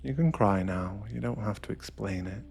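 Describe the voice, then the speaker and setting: A man narrating a scripted ASMR guided meditation in a hushed, deliberate, intimate and dramatic manner. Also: fairly low pitch, vocal fry. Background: music.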